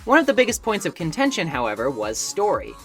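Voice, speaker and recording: A man's voice: high-pitched, wildly swooping pitch, fast talker. A man reading a scripted video essay in an articulate, wordy way, with wry asides. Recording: music behind narration.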